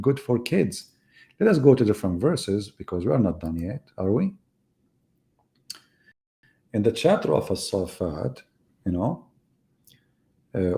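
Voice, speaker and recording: Gravelly, mocking man on a live debate. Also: lowish voice, dark tone. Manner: mocking, sarcastic rhetorical questions. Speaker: debate host. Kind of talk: live online debate monologue.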